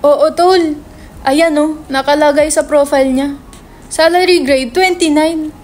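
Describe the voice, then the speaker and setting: A woman's voice: high voice, fairly even intonation, unhurried, darkish tone, a little hiss or hum. A woman playing a brash, foul-mouthed young male writer in a dramatic script reading, slangy and insistent. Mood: excited.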